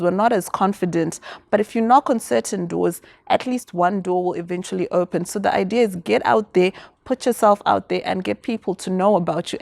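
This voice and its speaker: A woman's voice: medium pitch, creaky, a little mellow, no room sound. A confident, articulate woman from Zimbabwe, answering interview questions about business and activism. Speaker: guest.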